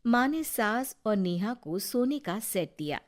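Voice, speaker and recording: Slow-paced woman storyteller. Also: medium pitch, sing-song. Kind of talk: scripted moral-story narration.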